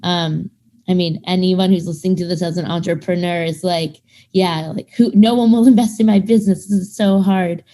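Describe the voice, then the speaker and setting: A woman's voice: fairly low pitch, fairly even intonation, normal speed, warm tone. A woman in her thirties, a startup founder, talking casually in an interview, with many fillers and quoted asides. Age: 30s. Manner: chatty, lots of fillers, acts out quoted people.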